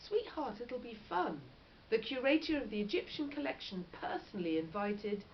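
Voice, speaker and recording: A woman's voice: a little high, lively intonation, moderate pace, voice pushed up. Woman reading as an earnest protective father, lo-fi. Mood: coaxing. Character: middle-aged scholarly father, protective, earnest, trying to stay upbeat. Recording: dull lo-fi audio with faint noise.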